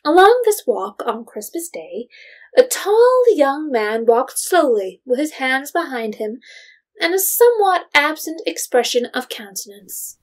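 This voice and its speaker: An actress as a composed storyteller, reading scripted literary narration for an audio drama. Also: female voice, high-pitched, very animated, unhurried.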